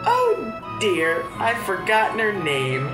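A woman voicing a doting, chiding mother from a fantasy video game in an exaggerated, expressive way. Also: mid-range voice, slow pace. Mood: bright, motherly. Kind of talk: theatrical read-aloud of game dialogue. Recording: roomy echo, game music behind.

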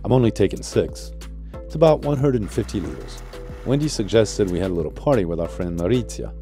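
A man narrating his sailing vlog in a calm, reflective voice-over. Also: on the low side, a little fast, faint music behind.

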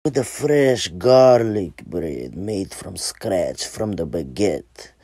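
A man puts on an as a theatrical chef who lingers over every word. The voice is slow, low and gravelly, close on a phone. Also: expressive, mellow, no echo, exaggerated voice. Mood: savoring. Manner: drawn-out, savoring, theatrical. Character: showy Italian chef savoring his food. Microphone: phone front camera at arm's length.

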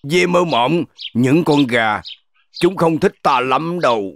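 A man's voice: on the high side, expressive, slow, music in the background. A man voicing a greedy, grumbling wolf in a children's cartoon, drawing out his words. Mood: grumbling, reluctant.

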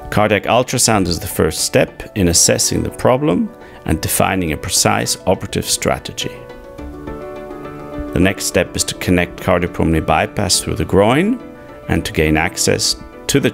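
A middle-aged man giving a measured, scripted medical explanation as a surgeon and narrator. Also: sits low, vocal fry.

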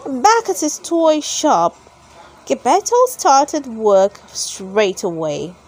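A woman reading a children's story aloud in an expressive, deliberate storytelling manner. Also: high-pitched, rough recording. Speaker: storybook reader.

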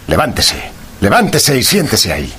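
An actor playing a stern, sardonic detective in a radio drama, commanding and measured, with a husky voice. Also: male voice, mid-range voice, huge pitch swings, pushed and bright, a little hiss or hum. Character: stern, sardonic male detective questioning a culprit.

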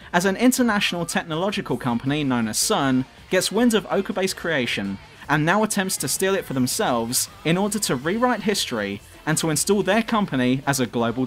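A man's voice: a little high, fairly bright tone. A man reading scripted review narration as a video reviewer.

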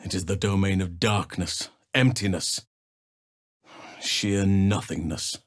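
An actor playing a gentle, solemn, protective elf druid in a fantasy video game, speaking in measured, earnest, formal phrases. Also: male voice, deep voice, steady tone, no room sound, clean studio sound. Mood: grave.

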